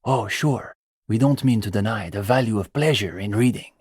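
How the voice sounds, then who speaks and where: Earnest, pretentious student radical, husky voice. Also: male voice, on the low side, normal speed, a little mellow, no room sound, studio quality. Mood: politely welcoming. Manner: bookish, opinionated, academic phrasing. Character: earnest, pretentious young male communist student intellectual. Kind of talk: video game character dialogue.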